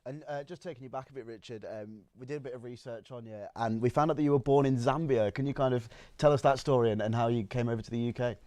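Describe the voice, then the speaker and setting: A man's voice: medium pitch, fast, hardly any pauses, mellow, dry recording. A young man asking questions casually as a student podcast interviewer. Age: young adult.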